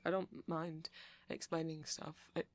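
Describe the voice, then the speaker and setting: A man's voice: on the high side, flat delivery, unhurried, no room sound, close to an everyday voice. A man playing a nerdy, kindly vampire who rambles hesitantly and reassuringly in an ASMR roleplay. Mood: earnest, reassuring. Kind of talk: ASMR roleplay, soft conversational monologue.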